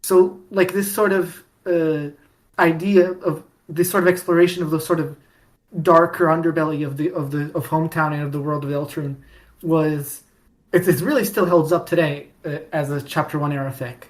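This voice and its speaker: A woman hosting a podcast in a casual fan-discussion style, with halting delivery that often repeats and restarts phrases. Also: sits low, steady tone. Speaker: podcast host.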